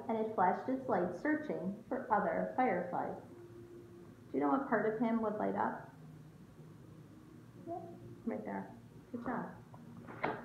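A woman in her thirties reads a picture book to young children with a very animated, swooping intonation. She pauses to put questions to her listeners. The sound is thin and phone-like, in a roomy space at home with faint noise. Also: fairly high pitch, creaky. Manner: expressive, questioning, speaking to children. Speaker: teacher reading aloud to young children. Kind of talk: picture-book read-aloud with asides to children. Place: small room at home.

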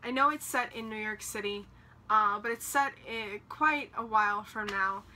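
A woman's voice: medium pitch, normal speed, bright, forceful tone. A young woman chatting casually to camera about her reading plans, rambling with lots of fillers, recorded in a small room by her bookshelves. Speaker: book vlogger.

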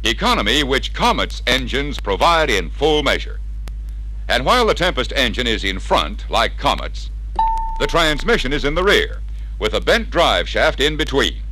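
American guy doing old 1960s sales film narration, bright punchy voice, really lively pitch, persuasive. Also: normal speed, slight background noise, rough recording. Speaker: narrator of a car dealer training film. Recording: vintage 1961 training record sound.

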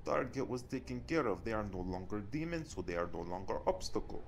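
A man's voice: lowish voice, expressive, a little fast, gravelly, slight background noise. A man playing a cocky, flirtatious werewolf hitman in an audio roleplay, speaking in clipped, article-dropping phrases. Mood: annoyed. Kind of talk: audio roleplay addressed to the listener.

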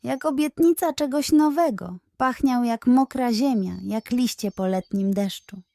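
A woman voicing a gentle, nostalgic old grandmother in a children's bedtime story. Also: on the high side, a little slow, no room sound. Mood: wistful, tender.

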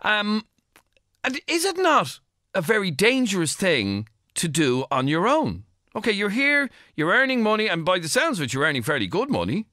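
Lively bright-voiced Irish radio host. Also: male voice, sits high, no echo, clean studio sound. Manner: probing, halting questions with many restarts. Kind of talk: talk radio interview. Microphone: studio microphone.

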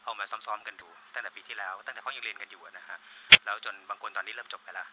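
A man's voice: lowish voice, steady tone, rapid-fire, sharp tone. A man in his thirties explaining his work as a producer in an informal interview, on a handheld mic in a small office. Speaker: radio drama producer being interviewed. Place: small studio office, indoors. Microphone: handheld reporter microphone. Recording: noisy room, handheld interview microphone.

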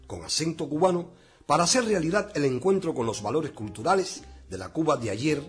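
A man reading a scripted radio promo in an expressive, declamatory way. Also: fairly high pitch, brisk, husky. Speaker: station promo announcer.

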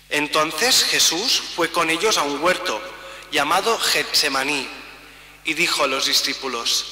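A middle-aged priest reads scripture aloud with very slow, deliberate phrasing and a sharp, ringing tone. His voice sits a little high and carries through a large church with a long, echoing tail. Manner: measured, solemn, pausing between phrases while signing. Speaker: priest reading the Passion. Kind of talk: slow liturgical Gospel reading. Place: church sanctuary, large indoor space. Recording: roomy church reverb, mic at a distance.

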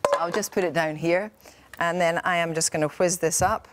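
A middle-aged woman news presenter with a brisk, wry manner, talking while she cooks on air in a TV studio. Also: on the low side, fairly even intonation, background noise. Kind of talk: light-hearted live cooking segment. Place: TV studio with a cooking set.

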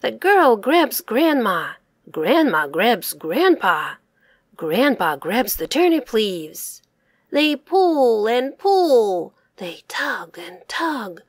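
A woman reading a children's folk tale aloud in slow, expressive, sing-song storytelling. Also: a little high, dry recording, clean studio sound.

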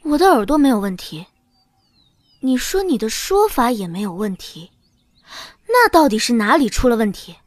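Sharp-witted young royal wife, breezy and high-pitched, period audio drama female performer. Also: big pitch swings, moderate pace, long pauses, no echo. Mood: disbelieving, indignant. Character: spirited, sharp-witted young princess consort, breezy and defiant. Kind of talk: audio drama acting in Mandarin.

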